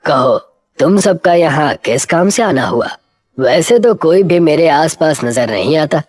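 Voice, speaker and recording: A woman's voice: deep voice, lively intonation, unhurried, a little mellow, pitched below the performer's own voice. A woman voicing a stern, cryptic old village holy man in a cartoon moral story, speaking in a commanding, reproachful manner. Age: older.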